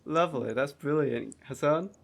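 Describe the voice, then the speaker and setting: A man's voice: a little high, normal speed, vocal fry. A man hosting a podcast interview, casually asking questions and answering with short affirmations. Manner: short affirmations, loose phrasing. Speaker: podcast host.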